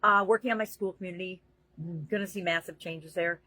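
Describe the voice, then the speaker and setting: A middle-aged woman speaks to camera in her daily motivational vlog, earnest and chatty with plenty of fillers. Her voice sits fairly low with a husky edge, and the recording is dry with no room echo. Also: steady tone, fairly quick, bright, forceful tone. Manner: earnest, conversational, with frequent fillers. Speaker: vlogger and business coach.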